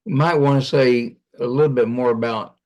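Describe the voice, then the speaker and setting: A man's voice: medium pitch, steady tone, moderate pace, darkish tone, highs cut off. A man giving feedback in an informal work discussion on an online conference call. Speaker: meeting participant giving feedback.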